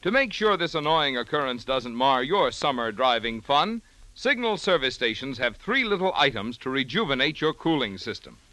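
A man's voice: medium pitch, sing-song, moderate pace, bright, forceful tone, no echo. A man reading a scripted commercial as an announcer on old-time radio.

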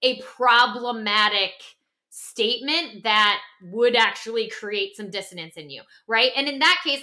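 A woman co-hosting a podcast in animated conversation, emphatic and often checking in with her listener. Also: mid-range voice. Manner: acts out imagined reactions in quoted voices. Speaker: podcast co-host.

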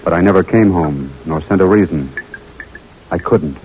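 Imprisoned American lieutenant, played by a man: low-pitched, intimate, reflective, tired, classic radio play style. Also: moderate pace, a little mellow, dull audio, a little hiss or hum, natural voice. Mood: weary, reflective. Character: earnest, weary imprisoned American army lieutenant. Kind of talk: 1940s radio drama acting, letter narration. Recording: vintage radio broadcast with music.

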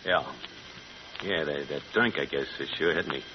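An actor playing a greedy, menacing gangster with blunt, slangy street talk in an old radio crime drama. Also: male voice, rumbling low, normal speed. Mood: drugged, fading. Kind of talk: 1930s-40s crime radio drama acting. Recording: noisy, muffled vintage radio recording.

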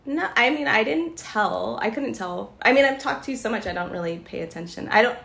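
A woman's voice: a little high, lively intonation, creaky, fairly bright tone, echoey room. Giggly, playful woman podcast co-host. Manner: playful, giggly, quick to joke. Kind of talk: casual chat.

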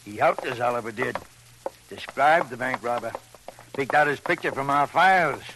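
A man's voice: medium pitch, expressive, moderate pace, lightly acted. An actor playing a boastful, over-familiar small-city policeman who mocks and prods people, in vintage radio crime drama style. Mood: boastful, needling. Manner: mocking, over-familiar, prodding. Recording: faintly noisy old radio recording.

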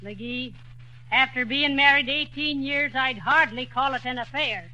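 An actress playing a patient, dry-witted middle-aged wife who deflates her husband with deadpan retorts. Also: high-pitched, unhurried, exaggerated voice, low-quality recording. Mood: wry.

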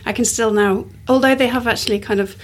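Husky-voiced woman, 40s, rambling and chatty. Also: fairly high pitch, steady tone, fast. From born in Canada, raised in northern England. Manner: rambling, fills talk with "like". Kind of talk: casual interview chat.

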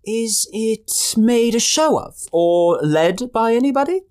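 Woman playing prim, animated lady guest. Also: a little high, slow. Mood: curious. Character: proper, mock-indignant lady party guest. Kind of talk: audio drama acting.

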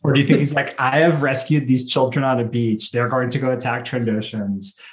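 A man co-hosting a casual fan podcast, telling things in an animated way with mock-quoted dialogue. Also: medium pitch, fairly quick. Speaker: podcast co-host.

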